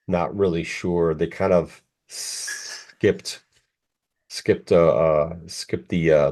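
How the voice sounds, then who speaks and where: A man's voice: sits low, a little mellow. A man in his forties co-hosting a podcast in a casual, rambling, opinionated and informal way. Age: 40s. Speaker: podcast co-host. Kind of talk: casual chat.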